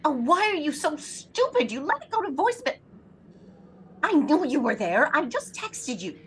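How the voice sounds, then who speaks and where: An actress playing an anxious, imperious invalid wife in a throwback radio thriller, agitated, demanding and melodramatic. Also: female voice, high-pitched, sing-song, normal speed, a bit bright, slight background noise. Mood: exasperated, frantic. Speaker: lead actress in an audio drama.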